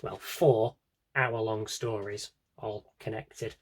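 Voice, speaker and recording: A man with a slightly husky voice chats casually as a fan showing off a collection, rambling with wry, dry asides. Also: fairly low pitch, fairly even intonation, slow. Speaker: audio drama collector and fan. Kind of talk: casual rambling shelf-tour vlog chat.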